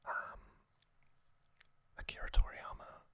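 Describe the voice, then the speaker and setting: A man whispering an unhurried ASMR recap, with soft pauses and frequent ums. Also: no room sound. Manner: unvoiced whisper, slow and relaxed with frequent ums. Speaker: ASMR channel host.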